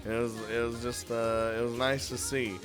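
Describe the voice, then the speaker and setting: A man's voice: mid-range voice, little pitch movement, moderate pace. A man giving a casual countdown review of games as host, talking informally and chuckling often between phrases. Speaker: host of a video game review series. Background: music.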